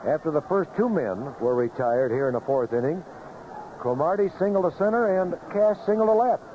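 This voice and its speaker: A man's voice: fairly high pitch, animated, moderate pace, lo-fi sound, a little hiss or hum. A man calling baseball play-by-play live on a vintage radio broadcast. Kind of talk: live radio sports commentary. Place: outdoor ballpark broadcast booth.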